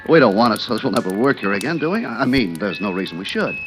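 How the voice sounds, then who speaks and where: Music sits behind this vintage radio recording of a two-faced lover and agent. The man talks quickly and furtively in a mid-pitched, very mobile voice, casual on the surface, in old suspense style. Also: very animated. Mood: falsely casual. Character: wife's secret lover, oily two-faced male agent.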